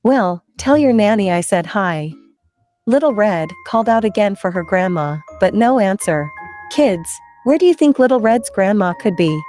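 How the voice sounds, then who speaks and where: A woman hosts story time for children. She has a soft-edged, mellow voice at a middling pitch and a playful, conversational way of putting questions to her young listeners. Faint music plays behind her. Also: expressive, moderate pace. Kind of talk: interactive children's story narration.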